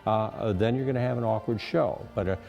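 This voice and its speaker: An older man who directs radio theater, explaining things in a measured way in an interview. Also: on the low side, vocal fry, warm tone, muffled recording, soft background music. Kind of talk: interview answers.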